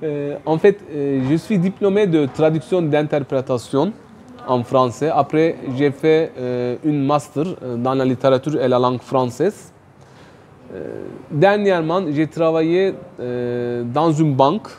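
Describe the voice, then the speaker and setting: A man from Turkey with a Turkish accent, answering interview questions hesitantly and with many pauses. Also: on the high side, expressive, a little hiss or hum. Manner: slow, many pauses and fillers, soft laughs. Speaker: guest, writer and calligrapher.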